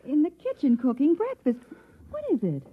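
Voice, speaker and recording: A concerned, practical fifties housewife in a radio play. Her high voice moves animatedly, dry and thin on a narrow vintage recording. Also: huge pitch swings, normal speed. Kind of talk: 1950s radio drama acting.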